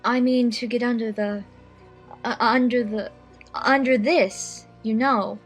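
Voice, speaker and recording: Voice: mid-range voice, steady tone, slow, faint music behind, pitched above the performer's own voice. A narrator voicing a curious, chatty little girl who muses to herself in a children's audiobook. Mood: puzzled. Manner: musing aloud to herself.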